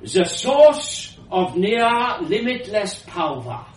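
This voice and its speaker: Guy doing a grandiose old lady mad scientist, foreign accent, high swooping voice, storybook style, roomy far mic. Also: big pitch swings, heavily acted. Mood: triumphant. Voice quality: powerful. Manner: halting non-native grammar, grandiose, declaiming. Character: elderly eccentric foreign woman mad scientist, grandiose and bitter. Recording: roomy, distant mic with hiss.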